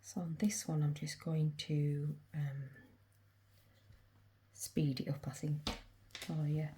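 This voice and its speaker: Woman host, northern English, low mellow voice, stuffy cold, unhurried chatty crochet walkthrough. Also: level tone, slight background noise. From England. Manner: chatty, talks to herself while working. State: congested with a cold, sniffly. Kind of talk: casual crochet demonstration.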